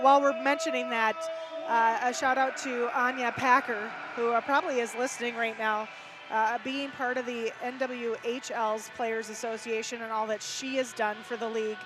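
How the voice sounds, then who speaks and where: A woman's voice: on the high side, steady tone, moderate pace, background noise. A woman with a Minnesotan accent doing casual hockey commentary, chatty and reading stats with fillers. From Minnesota, USA. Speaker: hockey broadcaster. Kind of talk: casual live-stream commentary.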